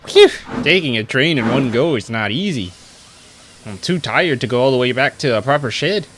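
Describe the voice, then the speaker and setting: A man's voice: medium pitch, expressive, normal speed, noisy background, close to an everyday voice. A man doing a loyal, earnest tank engine. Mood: weary. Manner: earnest, turns stern and firm. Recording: music clearly behind.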